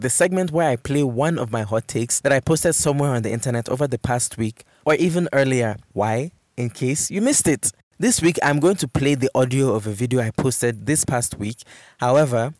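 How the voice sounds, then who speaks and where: A man's voice: mid-range voice, a little mellow, no echo. A man hosting a solo podcast, speaking conversationally and emphatically, repeating words for stress. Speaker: podcast host. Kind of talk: solo podcast monologue, conversational relationship advice and recommendations. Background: music.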